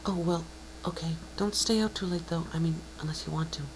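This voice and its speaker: Man doing a timid, soft, high pony girl. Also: steady tone, brisk, noisy background, lightly acted. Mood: timid, concerned. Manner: hesitant, soft-spoken, stammering. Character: shy, gentle young female pegasus pony.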